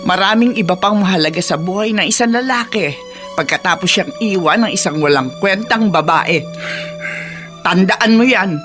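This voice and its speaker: A woman's voice: medium pitch, normal speed, over music, close to an everyday voice. An actress playing an older woman, a concerned and firm mother and grandmother, motherly and admonishing, in a radio drama. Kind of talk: Tagalog radio drama acting.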